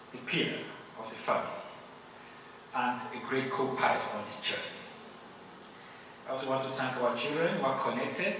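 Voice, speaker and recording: A man from Cameroon, a native Yemba speaker, gives a formal acceptance speech, earnest and grateful, pausing often. His mid-pitched voice rings through a large hall, picked up from a distance with a lingering reverb and steady room noise. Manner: earnest, grateful, measured with pauses. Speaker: award recipient giving acceptance speech. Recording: echoey hall, distant mic, noisy.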